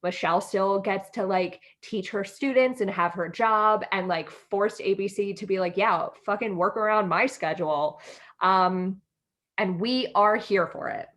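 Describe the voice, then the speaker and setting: A woman's voice: medium pitch, a little slow, a bit bright. A young American woman chatting casually and informally as a podcast co-host, with a giggly, sweary way of talking. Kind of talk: casual chat.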